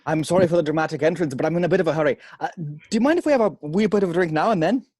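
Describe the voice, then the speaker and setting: Man playing a sprightly, husky old tycoon. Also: on the high side, very fast, a little mellow, dry recording, studio quality, lightly acted. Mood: jovial, brash. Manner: jovial, showy, theatrical. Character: sprightly seventy-year-old male tycoon, charming and brash. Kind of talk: table read of a film script.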